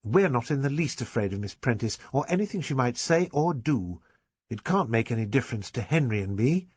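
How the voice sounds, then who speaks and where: A man's voice: medium pitch, animated, a little fast, slightly rough, pitched above the performer's own voice. A man reading aloud and voicing Dinah, a spirited young woman who is defiant and dramatic, in a raised, emphatic voice.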